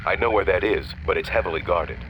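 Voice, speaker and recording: An actor playing a covert ally who guides the hero by radio in a video game, calm, controlled and urgent. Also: male voice, sits low, quick pace, muffled recording, noisy background, lightly acted. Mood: urgent, instructive. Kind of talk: scripted video game voice acting.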